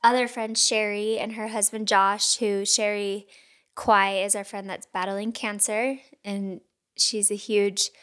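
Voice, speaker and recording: A woman's voice: medium pitch, level tone, unhurried, fairly bright tone. A woman co-hosting a podcast, telling a story in casual chat, laughing often. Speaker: podcast co-host.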